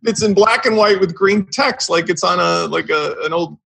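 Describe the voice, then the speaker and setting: A man's voice: sits high, normal speed, creaky, bright, forceful tone, breathy edge. A man on a podcast panel giving blunt, emphatic opinions in casual discussion, swearing freely.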